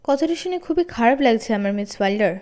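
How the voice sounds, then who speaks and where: Serious storybook dad, read by a woman in a high voice, polite, measured, fair. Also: lively intonation, darkish tone, close to an everyday voice. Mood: grave, regretful. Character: grave, calm, fair-minded father on a school board.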